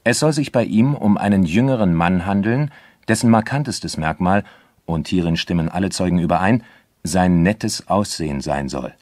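A crime case narrator with a deep voice, recorded close and dry. He delivers scripted documentary narration for a radio play, sober, formal and measured. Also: lively intonation, a little mellow, no echo.

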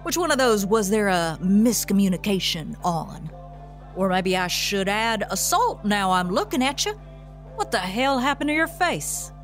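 A woman's voice: medium pitch, sing-song, unhurried, soft background music. An actress playing a tough female police chief in an audio drama, sarcastic, folksy and authoritative. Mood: sarcastic, incredulous. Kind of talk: scripted audio drama acting.

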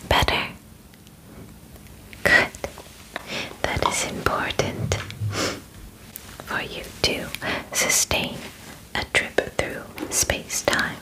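A young woman voicing a calm, clinical alien examiner in a whispered ASMR roleplay, formal and soothing, close to the microphone. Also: poor audio. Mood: calm, attentive. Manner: formal, soothing, deliberate, softly reassuring. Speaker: ASMR performer. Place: small bedroom, indoors.

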